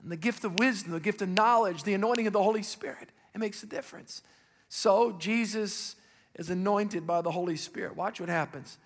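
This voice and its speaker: Raspy-voiced male pastor preaching in a hall. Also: sits high, fairly quick. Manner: preaching with rising emphatic calls and questions to the congregation. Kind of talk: sermon.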